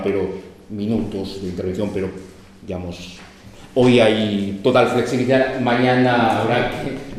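Man, conference moderator, hesitant with many fillers, low flat voice, very echoey hall, faint noise. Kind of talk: introducing a speaker at a conference.

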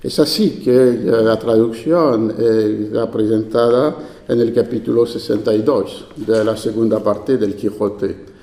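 A man with a French accent gives a formal conference lecture at a deliberate, unhurried pace. His dark, mellow voice is measured, with the odd hesitation. It sounds like an echoey lecture hall. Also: lowish voice. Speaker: invited speaker. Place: university lecture room.

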